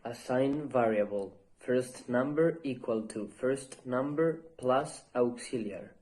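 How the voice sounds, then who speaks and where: Guy doing a screencast demo, really unhurried and soft-toned, bit of hiss and clicks behind him. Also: mid-range voice. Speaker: presenter of a software demo. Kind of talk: narrated screencast demonstration.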